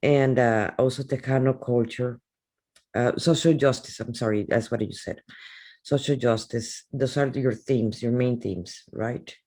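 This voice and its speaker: A man's voice: mid-range voice, a little slow, darkish tone. Hesitant podcast host, man. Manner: searching for words, with hesitations. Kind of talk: interview questions.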